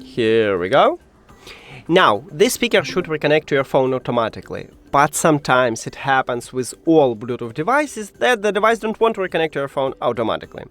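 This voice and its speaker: Tutorial presenter: non-native English male, fairly high voice, music underneath. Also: sing-song, fairly quick, a bit bright. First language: not English. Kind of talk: step-by-step spoken how-to instruction.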